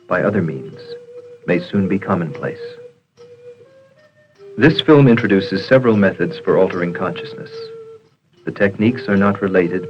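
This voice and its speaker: Low-voiced male educational film narrator. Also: highs cut off.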